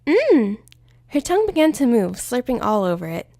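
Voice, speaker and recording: A woman narrating a fanfiction story aloud in an expressive storytelling manner. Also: fairly high pitch, fast, gravelly, dry recording, clean studio sound. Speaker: fanfiction reader. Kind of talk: audiobook-style story narration.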